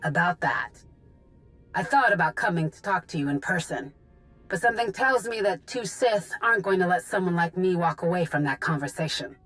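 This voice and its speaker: An actress playing a weary, defiant young rebel in a video game, plain-spoken and guarded. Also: female voice, lowish voice, expressive, moderate pace, a bit bright, poor audio. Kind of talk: video game dialogue acting. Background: music.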